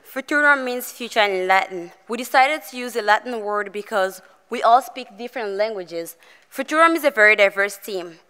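A teenage girl from DR Congo reads a prepared talk on a stage in a calm, measured way. Her voice is bright and smooth, and the auditorium gives it a long echo. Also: medium pitch. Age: teens. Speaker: student presenter. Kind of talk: reading a prepared presentation. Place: large theater stage. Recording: reverberant auditorium.

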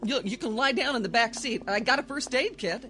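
An actor playing an earnest, flustered, concerned motorist who stammers in a radio drama. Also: male voice, very high voice, brisk, loud music behind the voice. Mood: worried.

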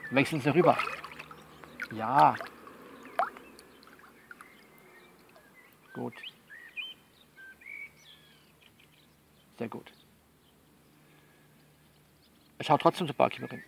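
A man playing a frank, worldly coach who talks a female client through things by phone in a blunt, coaxing, colloquial way with repeats and pauses. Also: medium pitch, gravelly, noisy background, natural voice. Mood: matter-of-fact, advising. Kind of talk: improvised-sounding one-sided phone monologue in an audio novel.

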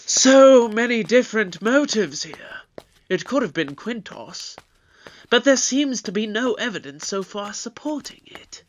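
Brisk, authoritative space detective in an audio drama. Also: medium pitch, lively intonation, a little hiss or hum, natural voice, rough recording. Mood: brisk, probing.

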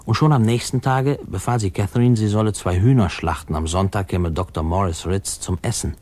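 An actor playing a grown man narrating memories of his boyhood in a 1950s German radio play, in a measured, wry way. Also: on the low side, a little mellow, lightly acted. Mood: wry, amused. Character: adult man wryly recalling his small-town boyhood.

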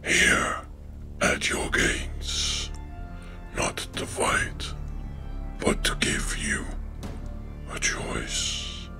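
A man playing an ancient, menacing dark lord in a horror film monologue, grandiose and coldly commanding, with a raspy croaking whisper. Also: a bass voice, full character voice. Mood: coldly tempting. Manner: slow, portentous, drawn-out phrases.

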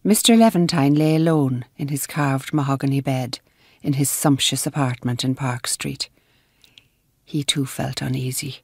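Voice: medium pitch, normal speed, darkish tone, breathy edge, no echo. A narrator delivering scripted narration for a radio drama.

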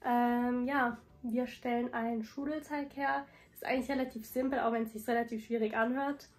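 A young woman in her twenties, a food vlogger chatting casually and relaxed at home. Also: fairly high pitch, moderate pace. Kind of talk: casual vlog chat. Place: home rooms and kitchen, indoors. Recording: echoey room with background noise.

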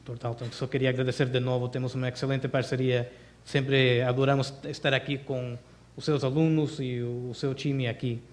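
Man giving a halting podium speech. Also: medium pitch, flat delivery. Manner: halting, frequent fillers, reading from notes. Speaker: US consul general giving opening remarks. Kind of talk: formal seminar speech. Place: conference auditorium, at a podium. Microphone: podium microphone.

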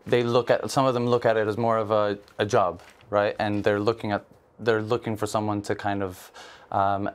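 A young man with a fairly low, smooth, resonant voice talks things through as a guest on a football TV panel. He explains earnestly, pausing on fillers and restarting, and sounds close on a lapel mic in a large studio. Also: little pitch movement. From Israel.

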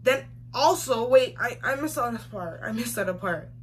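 A woman's voice: on the high side, expressive, moderate pace. A young woman telling a story casually to camera, emphatic and slangy, repeating phrases for effect. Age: young adult. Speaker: vlogger. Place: small room indoors, seated facing camera. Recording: clearly noisy home recording.

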